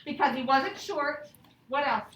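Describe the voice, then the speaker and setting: A woman's voice: sits high, lively intonation, a little slow, fairly bright tone. A woman working as an elementary teacher, leading a lesson and read-aloud for children in simple, repeated phrases. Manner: instructional, simple phrasing with repetition. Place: indoor classroom. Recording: echoey classroom, distant mic, background noise.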